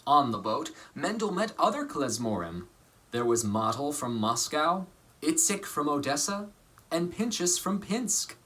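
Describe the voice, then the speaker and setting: Bright, highly expressive male storybook narrator. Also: unhurried. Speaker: actor reading a children's storybook aloud.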